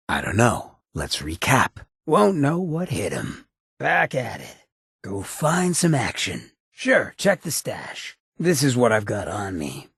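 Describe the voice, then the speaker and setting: A super raspy swaggering outlaw mayor for a game, sly streetwise drawl, pitch slides around a lot. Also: male voice, fairly bright tone, no room sound, clean studio sound, full character voice. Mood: approving, easygoing. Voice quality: raspy, gravelly rasp. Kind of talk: video game companion dialogue.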